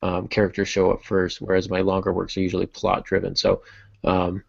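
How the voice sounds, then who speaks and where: A man hosting a podcast in casual discussion, thinking aloud in a halting way. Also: deep voice, completely flat delivery, creaky, dry recording, highs cut off. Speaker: podcast host.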